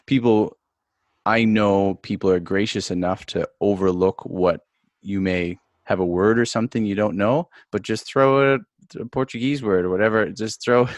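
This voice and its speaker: A man's voice: fairly low pitch, no room sound. A man hosting an interview, jokey and talkative in a casual chat. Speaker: podcast host.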